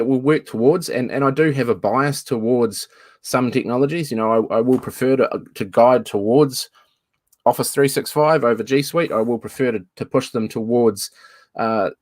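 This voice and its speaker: A man's voice: medium pitch, a little mellow, no echo. A man from New Zealand, a guest in a casual video-call chat, thoughtful and given to restarting phrases.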